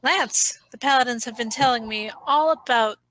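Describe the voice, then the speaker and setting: A woman's voice: fairly high pitch, moderate pace, a bit bright, natural voice, rough recording. A woman voicing a gracious, earnest young alien princess with a formal, polite manner in a fan audio drama. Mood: warm, formal.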